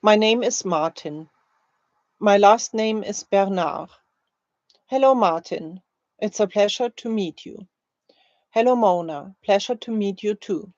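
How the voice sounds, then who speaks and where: A woman language teacher reads short drill phrases slowly and deliberately, leaving careful space between them. Her voice sits at an average pitch. The recording is very dry and a little dull. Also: darkish tone, dry recording. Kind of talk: slow, scripted language-learning drill, read aloud.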